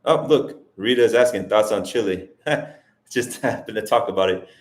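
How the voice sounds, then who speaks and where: A man's voice: on the low side, moderate pace. Rambling man hosting a live Q&A. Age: 40s. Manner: rambling, thinks aloud with frequent restarts. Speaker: livestream host. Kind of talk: casual livestream Q&A. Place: lives in Colombia as an immigrant.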